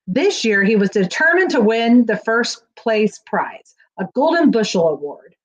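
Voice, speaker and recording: A middle-aged woman reading a children's picture book aloud in an expressive storytelling manner. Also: on the high side, unhurried, low-quality recording. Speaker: school staff reading aloud. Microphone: webcam on a video call, near.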